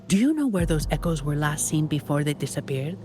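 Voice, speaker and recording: A woman's voice: low voice, big pitch swings, fairly quick, noisy background. An actress playing a polite, observant young woman adventurer in a video game, composed and inquiring. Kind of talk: scripted game dialogue. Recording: music playing behind the voice.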